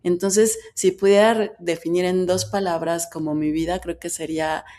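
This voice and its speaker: Low, mellow-voiced Mexican woman. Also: fairly even intonation, normal speed. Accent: Mexican Spanish. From Veracruz, Mexico. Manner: reflective, candid, speaks in long flowing stretches. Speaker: guest, writer and foundation president. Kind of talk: personal storytelling in an interview.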